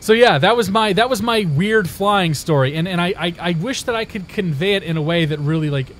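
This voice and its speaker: Rambling storyteller man, slightly high voice. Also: expressive, brisk, fairly bright tone. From Indiana. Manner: rambling storytelling with many restarts. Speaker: live streamer. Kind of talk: casual chat with viewers.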